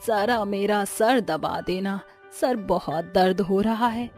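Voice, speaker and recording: A woman's voice: medium pitch, slow pace, darkish tone, soft background music. A woman voicing an impatient, complaining mother-in-law in a cartoon family story. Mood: nagging. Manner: nagging, impatient.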